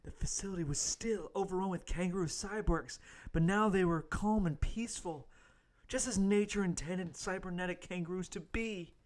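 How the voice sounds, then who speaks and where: A man's voice: sits high, normal speed, lightly acted. A man playing a young intern hero who narrates his adventure mock-heroically in an amateur comedic radio play. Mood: mock-heroic narrating.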